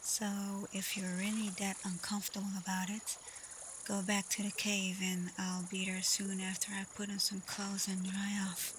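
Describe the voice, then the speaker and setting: A woman playing a caring, teasing female alpha werewolf in an intimate, softly spoken ASMR roleplay. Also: medium pitch, completely flat delivery, a little hiss or hum, lightly acted, poor audio. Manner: playful, unhurried, soft, at times whispering. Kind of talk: ASMR roleplay, intimate acting addressed to listener.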